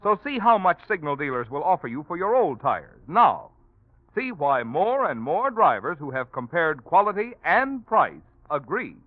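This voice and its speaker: An American male announcer in classic forties radio style. His fairly high voice moves in big lively swings of pitch. The recording is dry and narrow, like an old broadcast. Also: normal speed, dry recording. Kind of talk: 1940s radio sponsor announcement.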